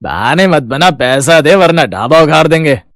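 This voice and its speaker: A man's voice: fairly high pitch, expressive, normal speed, fairly bright tone, dry recording, studio quality. A man voicing a threatening, domineering village thug in a cartoon story. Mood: menacing. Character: menacing village thug extorting money.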